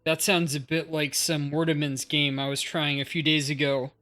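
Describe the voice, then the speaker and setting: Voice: medium pitch, flat delivery, moderate pace, a bit bright. Inquisitive game streamer chatting casually. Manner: inquisitive, thinking aloud. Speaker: game livestreamer. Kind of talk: casual chat with viewers while playing.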